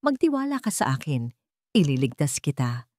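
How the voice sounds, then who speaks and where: A woman's voice: sits low, big pitch swings, moderate pace, darkish tone, no echo, clean studio sound. A woman with a Filipino accent voicing a determined, protective young billionaire man in an urgent, commanding manner for a story.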